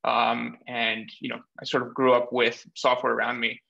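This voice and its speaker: A man in his thirties from Bulgaria, explaining his background in a measured way with many pauses, speaking as a guest over a video call from a small room. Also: mid-range voice, monotone, vocal fry, sharp tone. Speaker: guest, startup cofounder. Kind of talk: remote interview. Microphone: webcam or laptop mic on video call. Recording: dull lo-fi video call audio.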